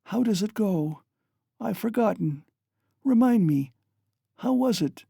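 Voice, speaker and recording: A reader voicing a dying, delirious mother, hoarse and gasping, who shrieks and wails. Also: high voice, takes it slowly, dark tone, no echo, studio quality, voice pushed up, full character voice. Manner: shrieking, frantic, wailing.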